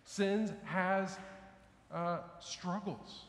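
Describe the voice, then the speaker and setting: A man's voice: high voice, very slow. Conversational male pastor in an echoey church. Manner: conversational preaching, asks the congregation questions, gestures with raised hands. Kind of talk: sermon. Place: large church sanctuary with congregation. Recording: echoey church hall, faint ambience.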